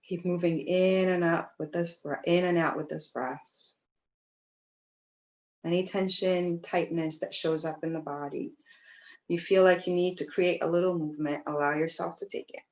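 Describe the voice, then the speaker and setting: A woman teaching yoga, guiding breathing and meditation in a gentle, soothing way, with pauses between phrases. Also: fairly low pitch, steady tone. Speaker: yoga teacher leading a class. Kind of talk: guided breathwork and meditation instruction.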